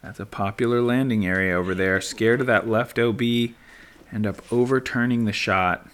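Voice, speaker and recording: A middle-aged man giving relaxed play-by-play commentary as a voice-over, easygoing and dry, thinking aloud as he goes. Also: medium pitch, moderate pace. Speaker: sports commentator. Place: voice-over, not at the course.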